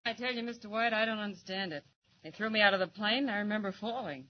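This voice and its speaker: An actress playing an earnest woman reporter in a 1940s radio drama, speaking in a measured way. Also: medium pitch, close to an everyday voice. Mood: puzzled, dreamy. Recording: muffled noisy 1940s radio recording.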